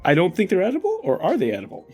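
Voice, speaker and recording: A man's voice: sits high, huge pitch swings, very fast, mellow, breathy edge. A man playing a tabletop game in casual improvised roleplay, asking questions and thinking aloud. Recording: music behind the voice. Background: music.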